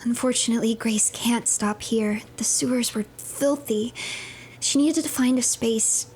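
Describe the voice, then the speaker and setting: A woman streamer narrating a game as a dramatic story in a soft storyteller delivery that drifts toward whispers. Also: a little high, a little slow, slight background noise, low-quality recording. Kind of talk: improvised dramatic story narration over gameplay.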